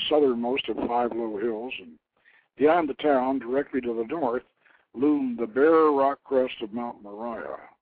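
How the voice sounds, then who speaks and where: A man reading a scripted story in a stately way, in short measured phrases with the odd stumble. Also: mid-range voice. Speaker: host reading his own novelette. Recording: thin phone-like internet radio line.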